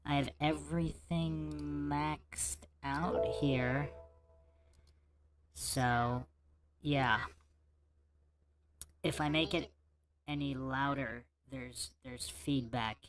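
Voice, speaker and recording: A man's voice: mid-range voice, steady tone, fairly bright tone. A man hosting a casual livestream Q&A, talking through audio troubles and restarting words. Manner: unhurried, restarting words while fixing audio settings. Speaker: livestream host.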